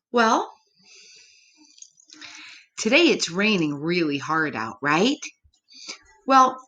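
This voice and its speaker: A woman storyteller reading a picture book to children, speaking simply and expressively, with gentle questioning asides to young listeners. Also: medium pitch.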